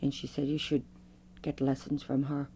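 A middle-aged woman, a Fado singer, telling stories with a strong, loud voice in an interview. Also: a bass voice, normal speed, a little hiss or hum. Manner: animated storyteller, strong loud voice. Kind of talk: oral history interview.

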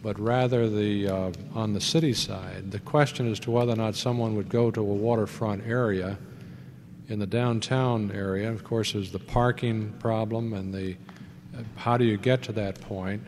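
A man, an airport official, answering questions at a public hearing in a measured, hesitant way. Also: lowish voice, steady tone, a little mellow. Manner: measured, hesitant, with fillers and restarts. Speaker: port authority official. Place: large meeting hall. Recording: echoey hall with faint hum.

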